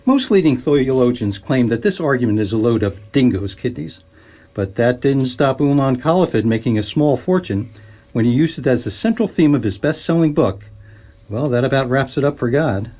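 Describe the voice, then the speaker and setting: A man performs the voice of an electronic guidebook in a sci-fi radio comedy. The mid-pitched voice is husky and very varied in melody, explaining absurd things with wry, learned amusement. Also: expressive, normal speed, dark tone, close to an everyday voice. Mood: dry, amused. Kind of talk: scripted comic sci-fi narration.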